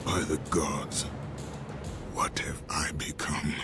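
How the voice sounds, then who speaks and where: An actor playing a brutal, anguished young Spartan captain in a video game, grave and forceful with a gravelly voice. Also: male voice, very deep voice, little pitch movement, slow pace, exaggerated voice. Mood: horrified. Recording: loud music behind the voice.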